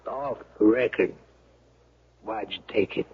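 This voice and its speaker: An actor playing a laconic, knowing small-town sheriff in a radio mystery drama, folksy and unhurried. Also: male voice, fairly low pitch, husky, muffled recording. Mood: wry, confiding. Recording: thin, band-limited old radio broadcast.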